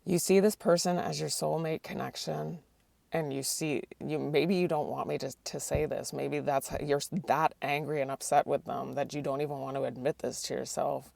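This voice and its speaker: A woman's voice: low voice, steady tone, moderate pace, vocal fry, a little mellow, no echo. A woman doing a tarot card reading, talking hesitantly and often restarting her sentences, and she is losing her voice. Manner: hesitant, restarts often, asks the cards aloud. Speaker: tarot reader.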